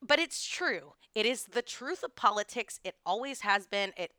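A woman from Texas co-hosting a history podcast, telling the story in a lively, explanatory way. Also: medium pitch, normal speed, clear, resonant tone, no echo, studio quality. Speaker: podcast co-host.

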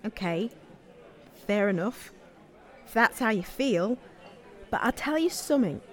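A woman's voice: mid-range voice, expressive, a little slow, some chatter behind, natural voice. An actress playing a hopeful, blunt, determined young homeless woman with a British accent in an audio play. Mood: firm, frustrated. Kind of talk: audio play dialogue and narration.

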